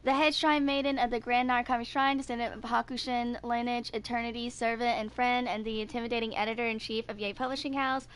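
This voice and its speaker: A woman in her twenties streaming a video game, talking casually in a rambling, halting way that circles back on itself. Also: on the high side, level tone. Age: 20s. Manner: rambling, halting, repeats herself. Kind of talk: casual livestream chat with some reading aloud of game text.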